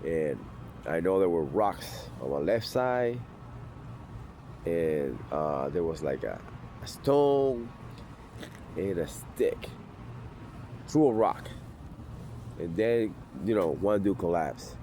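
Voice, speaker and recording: A man's voice: deep voice, big pitch swings, takes it slowly, pauses often, dark tone, slight background noise. A man, telling a personal sobriety story outdoors in a blunt, intense, street-tough way. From Venezuela. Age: 40s. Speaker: sobriety vlogger.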